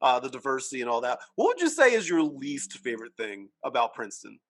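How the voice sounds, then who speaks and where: A man's voice: mid-range voice, very animated, fast, fairly bright tone. A young man with a strong South Jersey accent, hosting a casual podcast interview, eager and stammering through restarts. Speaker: podcast host.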